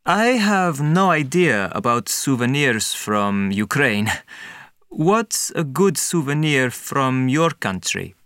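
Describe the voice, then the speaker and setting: A man's voice: mid-range voice, sing-song, slow, fairly bright tone, clean tone, dry recording, clean studio sound. A man from Egypt talking with a partner in a paired speaking-task discussion.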